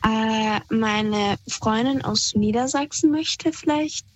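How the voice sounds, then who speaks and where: A young woman calls in to a radio show and chats casually. Her voice sits a little high. She talks hesitantly with many fillers and laughs easily. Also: slow. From Iran. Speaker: caller. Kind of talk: casual phone-in chat.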